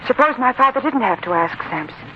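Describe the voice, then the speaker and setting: A woman's voice: on the high side, lively intonation, a little fast, lightly acted. A young woman acting an earnest, anxious bride who pleads for help in a 1940s radio drama. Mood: earnest, pleading. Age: young adult. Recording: muffled, noisy 1940s radio recording.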